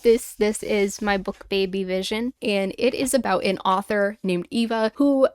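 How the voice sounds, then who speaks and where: A woman hosting a paranormal story show, reading listener tales aloud and reacting with animated, rambling, exclamatory asides. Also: mid-range voice, unhurried, smooth, no room sound, clean studio sound. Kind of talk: chatty commentary and reading listener stories aloud.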